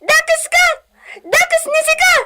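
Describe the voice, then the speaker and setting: A woman's voice: very high-pitched, lively intonation, a little slow, background noise. A woman voicing a spoiled, haughty young rich daughter in a radio comedy drama, whiny and demanding. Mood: angry accusing.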